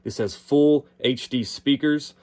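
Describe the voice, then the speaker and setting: A man's voice: mid-range voice, slow pace, darkish tone. An American man giving a casual, unscripted gear review in a folksy, explanatory manner. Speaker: product reviewer.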